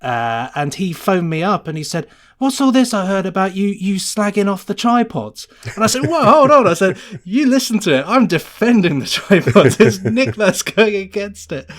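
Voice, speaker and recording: A man's voice: high-pitched, very animated, normal speed, hardly any pauses. A man talking casually as a podcast guest, in a chatty, rambling way. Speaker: podcast guest, sound designer. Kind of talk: casual conversational interview.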